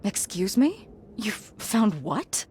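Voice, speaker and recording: Flustered, polite tenant, medium pitch. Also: female voice, sing-song, takes it slowly, darkish tone, a little hiss or hum. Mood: bemused, flustered. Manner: courteous, flustered. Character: polite, bemused female tenant of business premises. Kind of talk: video game dialogue acting.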